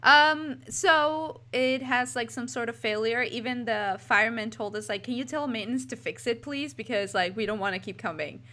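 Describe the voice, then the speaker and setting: A woman's voice: fairly high pitch, moderate pace, few pauses, bright, forceful tone. A woman with a Texan accent co-hosting a podcast in casual chat, giggly and chatty, often trailing off mid-sentence. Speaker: podcast co-host. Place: home apartment.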